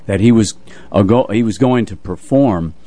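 An older man co-hosting a radio show, casually telling anecdotes about music in an unhurried way. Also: fairly low pitch, warm tone.

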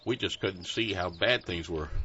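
A man's voice: low voice, unhurried, pushed and bright, a little hiss or hum. A man voicing a blind caretaker who talks in a folksy, self-deprecating way. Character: blind male caretaker, humble and joking. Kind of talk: audio drama acting. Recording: muffled lo-fi recording.

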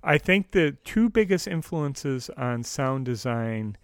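A man's voice: medium pitch, lively intonation, slow, no echo. Man chatting in a dry, close sound. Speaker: podcast co-host. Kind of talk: casual discussion of a radio drama.

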